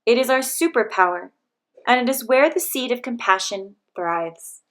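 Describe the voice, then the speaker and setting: A woman reading a picture book aloud to children, in a gentle, measured way. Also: a little high, lively intonation.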